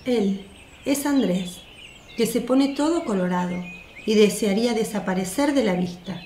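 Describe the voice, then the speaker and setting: A woman reads scripted narration for a radio play. Her pitch is average, and the recording has clear echo with faint birdsong behind. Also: animated, a little slow, warm tone, slight background noise.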